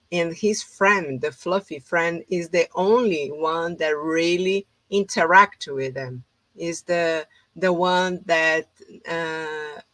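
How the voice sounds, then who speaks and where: Slow-spoken Brazilian woman host. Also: deep voice, fairly bright tone, dry recording. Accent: Brazilian Portuguese. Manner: hesitant non-native English with many fillers. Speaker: host, holistic therapist. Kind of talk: informal conversation.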